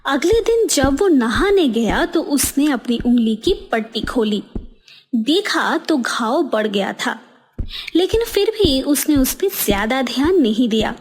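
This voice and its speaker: A woman's voice: high voice, sing-song, takes it slowly. A woman narrating a scripted cartoon moral story as its storyteller.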